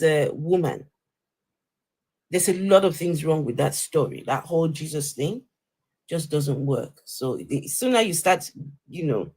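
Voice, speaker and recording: A man's voice: on the high side, darkish tone, husky. A man debating as a live-stream host, arguing emphatically and repeating his phrases for weight. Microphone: live-stream call.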